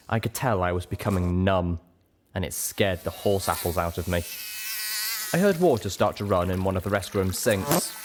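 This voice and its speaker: A man with a fairly low voice reads fiction aloud in a first-person narrative style. He works as the storyteller, carrying scenes and description. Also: slight background noise. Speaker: audiobook reader.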